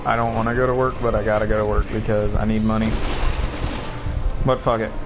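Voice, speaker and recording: A 19-year-old man streaming a video game, chatting casually and candidly and cursing freely, with a voice deeper than most people his age. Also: flat delivery, fairly quick. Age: 19, late teens. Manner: laid-back, candid, swears often. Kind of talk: casual rambling chat over gameplay. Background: game music and sounds.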